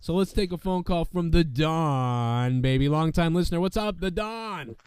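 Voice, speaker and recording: A man's voice: on the high side, animated, normal speed, a little mellow, smooth, no room sound. A male podcast host who is sick and losing his voice, talking casually and profanely in a rambling way. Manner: rambling, peppered with "you know what I mean". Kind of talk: casual profane show commentary.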